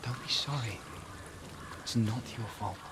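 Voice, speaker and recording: An actor playing a gentle, reassuring warrior companion in a game, soothing, soft and patient. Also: male voice, mid-range voice, a little slow, gravelly, very noisy. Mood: encouraging. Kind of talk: intimate game dialogue. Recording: loud watery background ambience.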